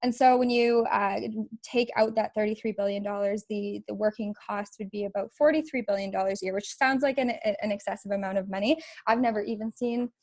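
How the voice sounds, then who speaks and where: A woman's voice: medium pitch, fairly quick, hardly any pauses, a little mellow, no room sound. A young woman from Alberta giving a presentation on a video call, talking with many fillers, restarts and little laughs. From Alberta, Canada. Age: young adult. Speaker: youth network presenter.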